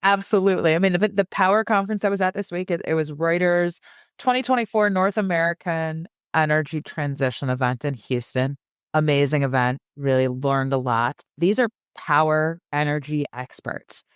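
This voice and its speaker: Enthusiastic woman, smooth dry voice. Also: deep voice, moderate pace, no room sound. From San Francisco and Germany. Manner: enthusiastic, often repeats words as she starts a phrase. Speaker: podcast guest. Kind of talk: casual interview talk.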